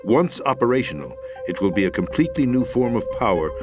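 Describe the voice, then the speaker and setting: An actor playing a commanding yet desperate middle-aged deposed president in a video game, speaking with solemn, deliberate authority. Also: male voice, medium pitch, very animated, close to an everyday voice. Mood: grave, urgent. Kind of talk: dramatic video game acting. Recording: loud music over the voice.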